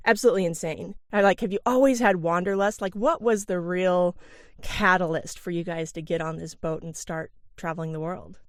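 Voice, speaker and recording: A woman's voice: fairly low pitch, dry recording, studio quality. Upbeat chatty woman podcast host. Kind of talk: interview.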